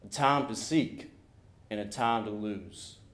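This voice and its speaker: A man reading a passage of scripture aloud in a church service, slowly and deliberately. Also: lowish voice, a bit bright. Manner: slow, deliberate recitation. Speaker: scripture reader. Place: church sanctuary. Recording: echoey church.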